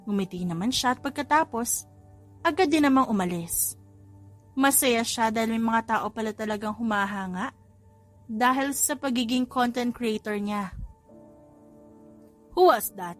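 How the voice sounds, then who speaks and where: Female audiobook narrator, Filipino accent, higher register, scripted love story over a music bed. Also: moderate pace.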